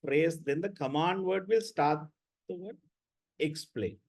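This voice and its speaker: A man's voice: a little high, measured pace, darkish tone. A man lecturing students in an online class, blunt and repetitive, often checking with "right?".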